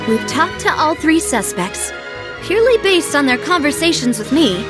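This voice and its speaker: A woman playing a poised, resolute young investigator in a video game, speaking in a deliberate, thoughtful way. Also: high voice, very animated, music in the background. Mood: pondering, analytical. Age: young adult.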